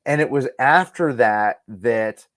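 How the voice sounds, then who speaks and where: A man with an average-pitched voice talks casually as an interview guest. He is emphatic and enthusiastic, telling things in an animated conversational way. The recording is dry and close. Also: takes it slowly, no echo. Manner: enthusiastic, emphatic, acts out quoted lines. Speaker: guest, radio producer and audio drama creator.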